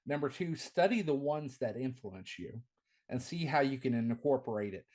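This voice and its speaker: Man with a carrying, not-deep voice. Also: expressive, moderate pace, darkish tone. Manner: enthusiastic, repeats words at the start of phrases. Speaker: wrestling commentator and ring announcer. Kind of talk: casual podcast interview.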